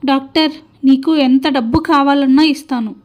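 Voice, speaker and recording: A high-voiced, middle-aged cartoon mother-in-law, acted out by a woman. She is stingy and bossy, and she talks in a scolding, complaining way. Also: fairly even intonation, moderate pace, a little mellow, lightly acted. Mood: distressed.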